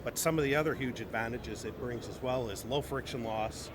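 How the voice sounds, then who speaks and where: A man's voice: medium pitch, a little fast. A man speaking as a company representative in an informal trade show interview, emphatic and repeating phrases for stress. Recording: noisy busy venue background.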